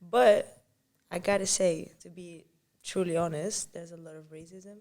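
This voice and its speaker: Woman from spain, spanish first language, lowish voice, candid and matter-of-fact. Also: fairly even intonation, measured pace, warm tone. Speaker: singer, interview guest. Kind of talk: casual interview chat.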